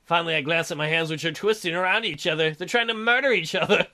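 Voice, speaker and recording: A man with a high, bright voice hosts a let's play. He reads story text aloud and cuts in with irreverent, mocking commentary. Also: fast talker. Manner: irreverent, crude joking, mocking. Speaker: gaming video host, let's player. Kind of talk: reading visual novel aloud with joking commentary.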